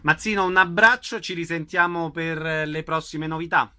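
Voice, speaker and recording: A man's voice: fairly high pitch, level tone, normal speed, pushed and bright. A man hosting a radio interview, asking questions and recapping event details. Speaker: radio host.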